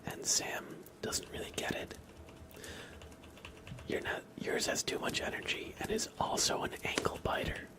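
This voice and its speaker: A man streaming ASMR in a soft, breathy whisper, chatting casually and gently while he plays a game. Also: a little slow. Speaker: livestream host. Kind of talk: ASMR livestream, casual chat while gaming.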